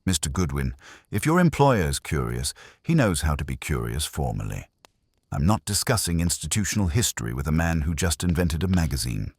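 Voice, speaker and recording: A man narrating voices an authoritative doctor who closes doors politely. He is formal and guarded, with a very deep, lively-pitched delivery in a mystery audiobook. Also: a little fast, husky, dry recording, clean studio sound, heavily acted. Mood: cold, dismissive. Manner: formal, evasive, clipped. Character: guarded, authoritative male doctor, courteous but curt. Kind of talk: audiobook detective story.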